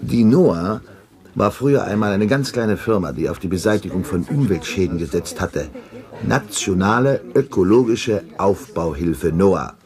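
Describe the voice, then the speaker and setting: A low-voiced weary elderly father. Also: lively intonation, moderate pace, darkish tone. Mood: earnest. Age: older. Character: weary elderly father, nostalgic, living in a bleak future. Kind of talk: 1970s German radio play acting. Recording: busy crowd noise behind the voice.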